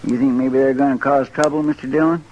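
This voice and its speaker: A man's voice: mid-range voice, fairly even intonation, quick pace, muffled recording, a little hiss or hum, close to an everyday voice. An actor playing a simple, earnest, deferential frontier townsman in an old-time radio western, with a folksy, respectful drawl.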